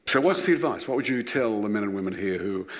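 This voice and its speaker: An older Australian man hosting a panel interview, asking gentle, probing questions. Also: on the low side, animated, quick pace. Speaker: host, interviewer. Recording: reverberant hall with faint noise.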